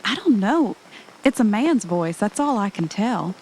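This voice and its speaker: A woman playing a fond, careful wife on vacation in an audio drama, chatty and gently chiding. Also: medium pitch, big pitch swings, measured pace, rough recording. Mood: worried. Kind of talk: audio drama acting, conversational. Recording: faint background noise.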